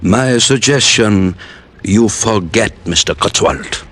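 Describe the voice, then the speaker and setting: A man playing a philosophical island police inspector who talks formally and gives measured, veiled warnings, in a 1950s radio drama. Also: on the low side, loud music behind the voice. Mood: guarded.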